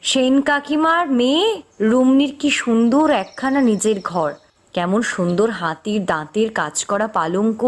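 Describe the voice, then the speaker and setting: A woman voicing a vain, lazy young village daughter in a cartoon, whiny, petulant and dismissive. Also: a little high.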